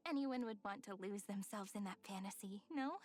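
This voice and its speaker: An actress voicing a playful, gentle young woman in a video game, speaking in a coy, tender, poetic way. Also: medium pitch, a little fast, dry recording, close to an everyday voice. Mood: dreamy, coy. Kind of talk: scripted game character voice lines.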